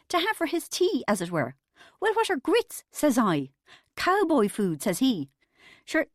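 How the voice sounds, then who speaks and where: A woman voicing an anxious, put-upon middle-aged mother in an audiobook, with a shaky, falsely bright, exclamatory manner. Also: high voice, no echo, clean studio sound, voice pushed up. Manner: shaky, fake-upbeat, exclamatory. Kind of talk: audiobook character dialogue.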